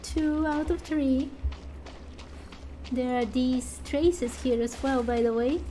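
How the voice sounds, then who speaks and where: A woman's voice: high-pitched, slow, warm tone, a little hiss or hum. A woman giving casual commentary on her own let's play of a game, reacting as she goes. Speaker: gamer voicing a let's play.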